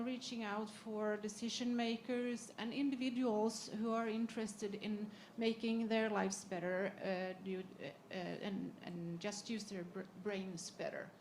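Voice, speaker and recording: A woman's voice: a little high, slight background noise. A woman heading a research foundation, speaking on a conference panel, hesitant with many uhs and restarts. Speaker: foundation secretary general. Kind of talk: panel discussion talk. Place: conference session.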